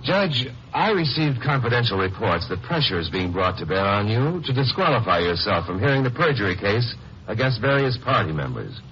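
An actor playing a hard-boiled lawyer in a 1950s radio crime drama, with a terse, dry delivery. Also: male voice, lowish voice, very animated, a little fast, sharp tone. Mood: earnest, resolute. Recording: noisy, muffled vintage radio recording.

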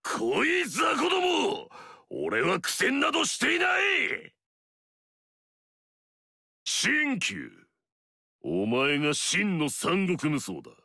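A gravelly, arrogant warlord. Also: male voice, high voice, huge pitch swings, slow, long pauses, bright, forceful tone, dry recording, studio quality, heavily acted. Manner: swaggering, barking battle cries. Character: arrogant mighty male warlord, brash and fierce. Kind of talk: video game voice lines.

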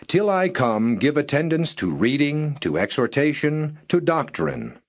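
A man narrating scripture in a measured, solemn and formal reading style. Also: a little high, animated, gravelly. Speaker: Bible narrator.

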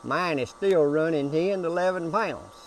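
A man's voice: fairly high pitch, animated, normal speed, a little hiss or hum. An older man gives a folksy, casual how-to talk outdoors by his backyard pool, speaking to a camera a few feet away. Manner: folksy, down-home, repeats himself. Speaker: homestead vlogger.

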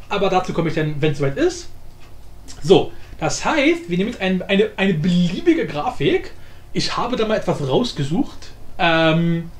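A man explains things in a casual, chatty tutorial style, often adding small tag questions. His voice sits high and moves up and down a lot. The recording is roomy and echoey. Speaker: tutorial host. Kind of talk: casual spoken software tutorial. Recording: roomy echo, faint background noise.

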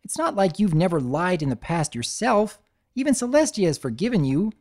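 A woman's voice: fairly low pitch, very animated, normal speed, a little mellow, pitched above the performer's own voice. A woman voicing a young male baby dragon, defensive and stammering. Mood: defiant. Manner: stammering, pleading.

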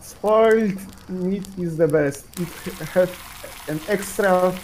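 A woman, non-native English speaker, playing a co-op game and speaking haltingly while searching for words. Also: on the low side, darkish tone, a little hiss or hum, poor audio. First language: not English. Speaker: co-op player. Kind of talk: casual gaming voice chat.

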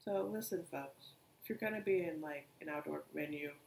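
A young woman, co-hosting a podcast and telling stories in an animated, casual way. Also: low voice, normal speed, gravelly. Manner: animated storytelling, many asides. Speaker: podcast co-host. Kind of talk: casual chat. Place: living room couch, indoors. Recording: echoey room.

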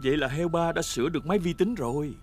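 A man's voice: a little high, fast talker, very noisy, natural voice. A man narrating a preschool cartoon as its storyteller, in a scripted Vietnamese dub style. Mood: matter-of-fact. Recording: loud cartoon music behind.

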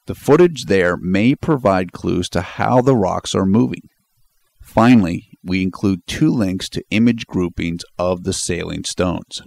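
Deep gravelly male narrator, formal. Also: a little mellow, dry recording. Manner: formal, measured, explanatory. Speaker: channel narrator. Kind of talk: scripted voice-over narration.

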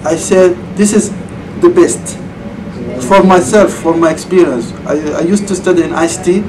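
A man in his thirties with a Saudi Arabian accent, a former student answering interview questions in halting English with frequent restarts, recorded in a computer lab. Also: sits high, steady tone. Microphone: far camera mic. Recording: distant camera mic, air conditioning hum.

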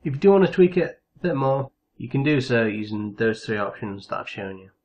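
Man, mid-pitched voice, casual tutorial host, instructional step-by-step delivery. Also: animated, fairly quick, darkish tone.

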